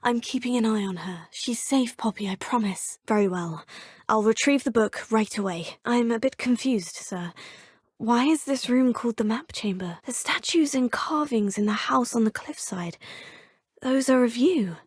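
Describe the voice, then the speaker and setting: A woman's voice: fairly high pitch, lively intonation, moderate pace, slightly rough, dry recording, studio quality. An actress playing an earnest, curious young student witch, speaking politely and formally. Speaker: player character. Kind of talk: video game voice lines.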